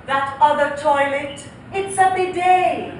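An actress playing a haughty, sharp-tongued wife in a comic stage play, speaking precisely and sarcastically. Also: female voice, high-pitched, slow pace. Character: snooty, sharp-tongued wife interrogating the new help. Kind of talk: comedic stage play acting. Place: theatre stage before an audience. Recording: echoey theatre, noisy, audience behind.

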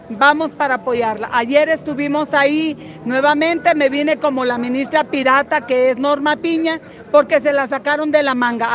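High-pitched, defiant older woman activist. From Guatemala. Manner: combative, defiant, salty-tongued. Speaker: political activist being interviewed at a rally. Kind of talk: impassioned street political interview. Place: busy city street outdoors. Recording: outdoor street crowd noise, music behind.

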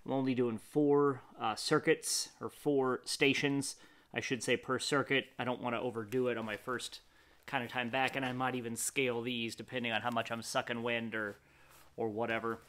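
Clear-voiced man chatting casually. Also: mid-range voice, little pitch movement, normal speed, a bit bright. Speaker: fitness vlogger. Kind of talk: casual vlog talk. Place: wooden garage with the door open.

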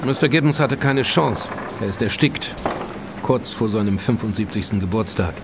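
An actor playing a grudging, matter-of-fact police inspector in a German crime audio drama, dry and businesslike. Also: male voice, fairly low pitch, lively intonation, normal speed, background noise. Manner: dry, businesslike, disdainful toward outsiders.

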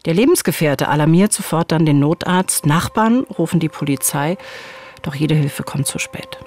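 A woman's voice: low-pitched, normal speed, a little mellow. A woman in her forties presenting a true-crime story as scripted narration in dialogue, at a studio microphone. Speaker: true-crime podcast host. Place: small dark studio. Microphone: studio boom microphone, headphones.

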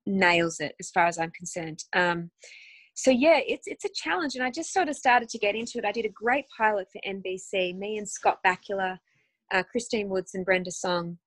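A woman's voice: mid-range voice, normal speed, no echo. A woman in her thirties with an Australian accent, chatting as an interview guest over a phone video call from home, with lots of ums and restarts. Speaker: guest actress. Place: home room, indoors.

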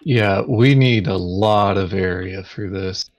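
A man chatting casually over a board game in a laconic, low-key way. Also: takes it slowly, highs cut off.

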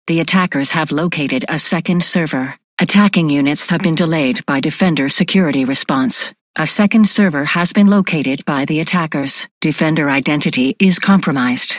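Impersonal ai security announcer for a scifi game voiced by an actor, clipped and formal, mid pitch, dry sound. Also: no echo, close to an everyday voice.

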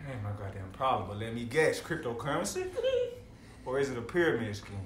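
A man's voice: mid-range voice, lively intonation, a little fast, a bit bright, natural voice. A man playing a stressed, skeptical best friend in an amateur comedy table read, slangy, profane and incredulous. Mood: exasperated. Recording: echoey room, faint noise.

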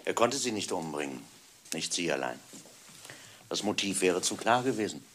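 A man playing a stern, methodical police inspector in a German radio crime drama, speaking emphatically and deliberately. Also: low-pitched, very animated, a bit bright, slight background noise. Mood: grim, resolute. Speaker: actor in a radio crime play.

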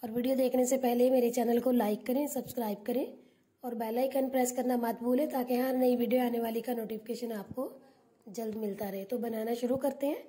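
A woman hosting a cooking channel, calmly narrating a recipe step by step as a voice-over. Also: a little high, a little fast. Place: home kitchen.

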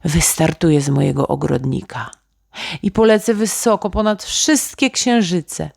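A woman voicing a dreamy, excited little boy inventor in a children's bedtime story, musing aloud eagerly. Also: on the low side, measured pace, vocal fry, husky, dry recording, studio quality, pitched above the performer's own voice, exaggerated voice. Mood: dreamy, determined.

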